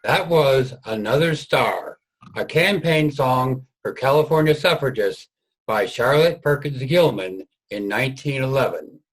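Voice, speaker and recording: A man delivers scripted historical narration for a reader's theater. His voice is of average pitch, with a husky, unpolished grain. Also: expressive, measured pace, poor audio. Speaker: narrator and writer of the play.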